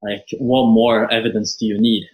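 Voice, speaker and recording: A man's voice: lowish voice, little pitch movement, moderate pace, low-quality recording. A nineteen-year-old man from Norway, talking earnestly as a guest in a casual interview, with a thoughtful manner and frequent fillers.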